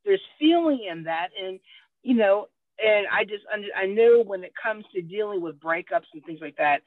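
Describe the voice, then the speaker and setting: A woman's voice: medium pitch, lively intonation, moderate pace, no room sound. A woman co-hosting an interview who speaks in a rambling, encouraging way. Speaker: co-host interviewer. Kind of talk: interview chat. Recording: thin phone-like line.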